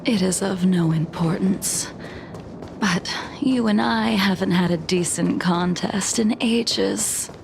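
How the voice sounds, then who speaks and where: A woman playing a cold, composed, ageless swordswoman in a video game, speaking in a measured, softly teasing way. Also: medium pitch, gravelly, noisy background. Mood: cool, challenging. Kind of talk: dramatic video game voice acting. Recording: loud game music behind.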